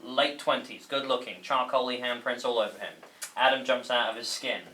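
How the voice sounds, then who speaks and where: A man's voice: mid-range voice, fairly even intonation, normal speed, pushed and bright, a little hiss or hum, low-quality recording. A man informally reading out stage directions at a table read, with asides to the actors.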